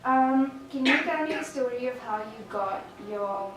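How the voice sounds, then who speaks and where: A young woman with a fairly high voice asks casual interview questions as a student interviewer. She sounds very echoey and a little far from the microphone. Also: unhurried, over loud music. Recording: very echoey, distant microphone, faint noise.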